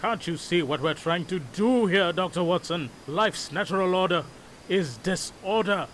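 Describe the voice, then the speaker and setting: A radio play evil mastermind, fanatic but composed, speechy and grandiose, slightly higher male voice. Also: lively intonation, measured pace, a bit bright, slight background noise. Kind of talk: audio drama acting.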